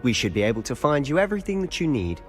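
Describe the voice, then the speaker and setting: A man's voice: medium pitch, big pitch swings, quick pace, faint music behind, natural voice. A man playing a cheeky, charming teenage wizard schoolboy in a video game, playful and mock-formal. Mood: playful, friendly. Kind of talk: scripted video game acting.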